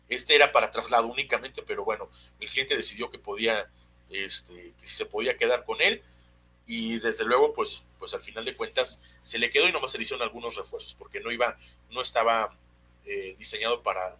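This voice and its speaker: A Mexican man gives an unscripted technical lesson in a mid-pitched, very sharp and forceful voice. He speaks haltingly, often searching for the next word. The webinar audio carries a faint noisy hum behind him. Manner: hesitant, halting, searching for words. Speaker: technical instructor presenting a webinar. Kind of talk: unscripted technical explanation of engineering drawings.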